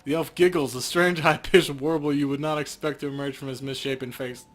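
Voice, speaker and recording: A man's voice: fairly high pitch, fairly even intonation, a little fast, fairly bright tone. A man narrating a video game for a let's play, mixing read-out description with casual banter.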